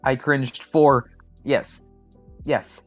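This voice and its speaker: A man hosting a casual group livestream as a contest judge, talking in a jokey, sweary way with theatrical pauses. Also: mid-range voice, fairly even intonation, muffled recording, soft background music. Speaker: host and judge of a fanfiction contest stream.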